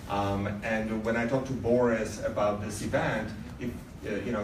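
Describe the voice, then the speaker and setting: A man with a Polish accent welcomes an audience, his lowish voice stumbling through ums and restarts. He is picked up from a distance in an echoey venue, with clear room noise behind him. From Poland. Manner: hesitant, many ums and restarts. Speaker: event host from sponsor company. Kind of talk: welcome speech at live event. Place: large event venue indoors.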